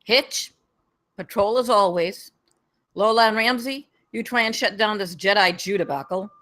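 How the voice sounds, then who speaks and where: A woman's voice: mid-range voice, normal speed, fairly bright tone, lightly acted. A woman reading the part of an impatient, commanding campus security captain at a script table read.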